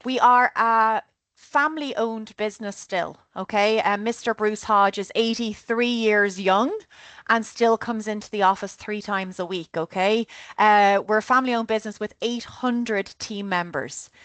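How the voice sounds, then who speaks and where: A woman's voice: mid-range voice, unhurried, fairly bright tone, dry recording. Chatty Irish woman with a dry, close sound. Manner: chatty, keeps adding "okay?". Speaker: tour operator director of sales. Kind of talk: online group presentation.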